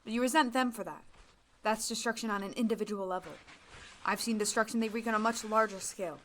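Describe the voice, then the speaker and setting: A woman's voice: a little high, fast talker, a bit bright, close to an everyday voice. A woman acting in an audio drama, playing a jaded young woman who speaks with bitter insistence. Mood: grim.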